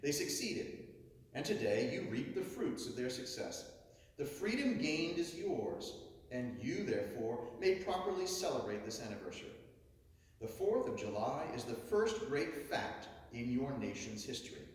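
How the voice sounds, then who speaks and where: Booming orator in an echoing hall. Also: male voice, big pitch swings. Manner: booming, dramatic swells and drawn-out stresses. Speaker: orator reading a historic speech. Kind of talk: declamatory oration reading. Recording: echoey hall, heard from far off.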